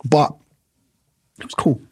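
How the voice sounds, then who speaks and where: A man's voice: slow, no echo, studio quality. A man in his thirties, a musician guest, chatting casually and rambling with many fillers and restarts. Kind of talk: casual interview chat.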